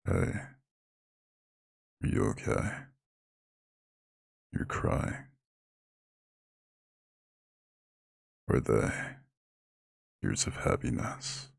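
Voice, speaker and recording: A man voicing an ancient eldritch god in an ASMR roleplay, proud and lordly yet tender, with a very deep, husky, gravelly growl. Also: no echo, clean studio sound. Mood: soft, tender. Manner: lordly, formal, slow comforting address. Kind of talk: scripted ASMR roleplay monologue.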